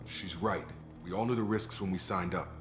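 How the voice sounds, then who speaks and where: Deep-voiced, earnest male soldier played by an actor. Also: fairly even intonation, moderate pace, music in the background, lightly acted. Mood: earnest, steady. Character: earnest, loyal male soldier, plain-spoken and conscientious. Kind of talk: video game cutscene acting.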